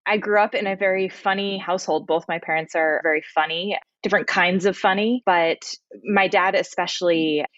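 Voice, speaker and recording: A woman's voice: lowish voice, steady tone, moderate pace, few pauses, a bit bright, no room sound, muffled recording. A young woman, a playwright, chatting casually in an interview.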